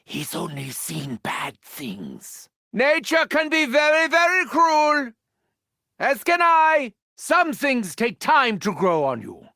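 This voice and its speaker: An actor playing a gleefully sadistic German mad scientist villain in a video game, theatrical and grandiose, with a German accent and mocking, formal phrasing. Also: male voice, very high-pitched, huge pitch swings, slow, pushed and bright, no echo, studio quality, heavily acted. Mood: manic, gleeful. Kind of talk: video game character voice lines.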